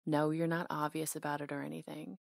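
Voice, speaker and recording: A woman playing a flirty, tender salon owner with a crush, speaking softly and intimately in an ASMR romantic roleplay, teasing, pausing and sometimes near a whisper. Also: sits low, level tone, no room sound, clean studio sound. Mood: playful, affectionate. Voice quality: soft, breathy, sometimes whispery. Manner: teasing, hesitant pauses, intimate.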